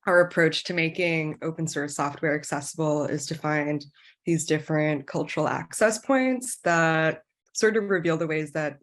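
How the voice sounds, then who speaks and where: A woman researcher and artist giving a casual, hesitant project introduction on a video call, with many fillers. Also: low voice.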